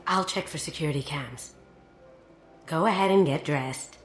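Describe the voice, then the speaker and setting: A woman's voice: deep voice, normal speed, natural voice. An actress playing a sly, confident, playful female master thief in a video game, with a breezy, self-assured manner. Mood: conspiratorial. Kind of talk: scripted game dialogue. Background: background music.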